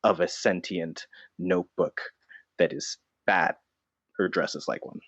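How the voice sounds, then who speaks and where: A man's voice: low-pitched, measured pace, a bit bright, no echo, muffled recording, exaggerated voice. A man playing a self-serious, defensive vigilante notebook who dresses as a bat, in an improvised comedy interview. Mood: indignant. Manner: solemn, defensive, callously self-justifying.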